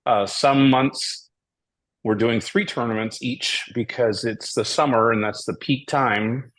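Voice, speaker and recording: A man from Hawaii, a tournament director guest, telling his story in a steady, methodical way. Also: mid-range voice. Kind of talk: casual interview.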